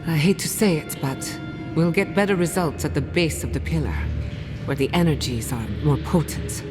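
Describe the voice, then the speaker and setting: A woman's voice: low voice, normal speed, natural voice. A woman playing a confident, wry, adventurous scholar and guide in a video game, explaining things articulately. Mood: intrigued. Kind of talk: scripted video game acting. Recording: reverberant hall under loud music.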